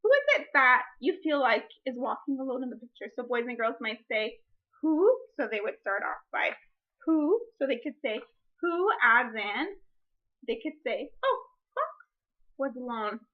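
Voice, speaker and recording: A woman demonstrating a read-aloud lesson as a teacher, speaking deliberately and posing drawn-out, wondering questions as if to children. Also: fairly high pitch, lively intonation, highs cut off.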